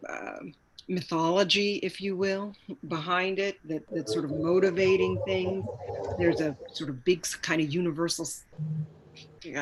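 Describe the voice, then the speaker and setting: A woman's voice: fairly low pitch, rough recording. A woman speaking as a guest in an informal panel chat, reflective, effusive and emphatic as she searches for words. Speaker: stage actor, panel guest.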